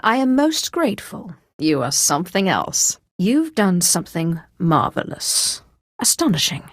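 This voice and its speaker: An actress voicing a haughty, sardonic female Sith sorceress villain for a video game, with deliberate, theatrical delivery. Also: on the low side, sing-song, dry recording, studio quality. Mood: gracious, pleased. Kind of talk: scripted video game character lines.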